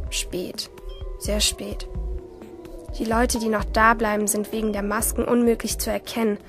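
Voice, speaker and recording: A woman's voice: medium pitch, natural voice. A woman playing a lonely, curious teenage girl who narrates a radio drama as an intimate inner monologue. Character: lonely, curious teenage girl watching life through screens.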